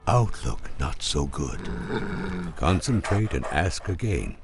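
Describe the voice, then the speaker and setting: Very deep, creaky orc seer. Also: male voice, sing-song, slow pace, music in the background, exaggerated voice. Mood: solemn. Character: solemn, mystical male orc seer shaman. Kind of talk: video game unit quotes.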